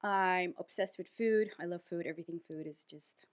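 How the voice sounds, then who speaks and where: A woman's voice: fairly low pitch, normal speed. A woman of twenty-eight from Curaçao, a bubbly co-host chatting on a talk show. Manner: bubbly, eager. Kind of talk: casual group talk show chat.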